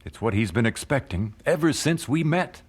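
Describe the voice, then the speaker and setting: A man's voice: mid-range voice, expressive, moderate pace, husky. An actor playing an earnest, devout 19th-century family man, reflective, in a radio drama. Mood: hesitant, earnest. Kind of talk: radio drama narration and dialogue.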